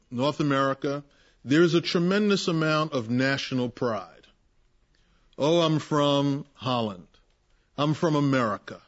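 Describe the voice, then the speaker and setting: A man leading a group Bible discussion, preaching emphatically and acting out the voices he quotes. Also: on the high side. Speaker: Bible study leader. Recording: muffled lo-fi recording.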